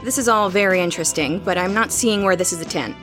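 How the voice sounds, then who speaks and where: A woman's voice: lowish voice, fast, a bit bright, faint music behind, natural voice. A woman playing a studious, skeptical young roommate, speaking bluntly and dryly in an audio drama. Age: young adult. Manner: dry, matter-of-fact, challenging. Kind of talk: horror audio drama dialogue.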